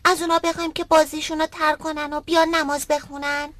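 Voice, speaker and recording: A well-meaning young cartoon boy. The voice is extremely high, polite and inquisitive. It has the earnest delivery of an educational dub. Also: flat delivery, fairly bright tone. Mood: concerned. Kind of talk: dubbed educational cartoon acting.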